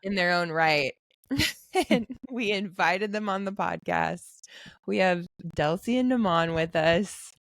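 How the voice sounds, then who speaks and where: A woman's voice: on the low side, measured pace, vocal fry, dry recording. A woman hosting a podcast in a casual chat, teasing her guests and laughing often. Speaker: podcast host.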